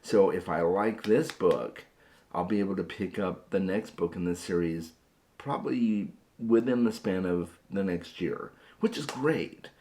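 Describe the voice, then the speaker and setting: Hoarse, deep-voiced man chatting. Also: a little slow, mellow. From Phoenix area, USA. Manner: chatty, repeats words when starting sentences. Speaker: book vlogger. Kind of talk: casual chat to camera.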